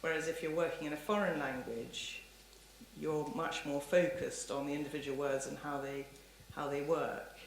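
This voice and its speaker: A woman's voice: deep voice, steady tone, moderate pace, gravelly. A woman lecturer answering audience questions in a thoughtful, discursive, hedging way. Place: indoor lecture hall. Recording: roomy lecture hall, faint background noise.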